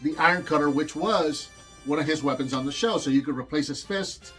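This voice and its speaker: Toy review host, a man from Puerto Rico, fairly high voice, eager and rambling, faint music behind. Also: fairly quick, a bit bright. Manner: enthusiastic, rambling with restarts.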